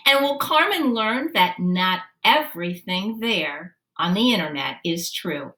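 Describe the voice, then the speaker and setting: Animated, bright-voiced woman reading a picture book. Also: lowish voice. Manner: animated, deliberate, dramatic emphasis. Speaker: read-aloud storyteller. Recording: roomy, echoey sound.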